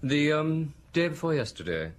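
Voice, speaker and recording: An actor playing a well-bred nephew in an audio drama, polite, hesitant and evasive, with an excitable manner. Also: male voice, fairly high pitch, normal speed. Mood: uneasy, polite. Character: well-bred gentleman nephew, nervy, evasive, eager to help.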